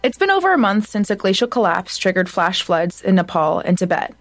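A woman news host reading a scripted introduction to the next segment smoothly. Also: medium pitch, big pitch swings, fairly quick.

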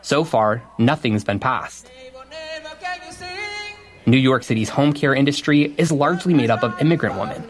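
A male radio reporter reading a scripted field report in a measured, even cadence. His voice sits at an ordinary middle pitch for a man, neither high nor low. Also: soft background music. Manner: measured, even news delivery. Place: on location outdoors at a protest.

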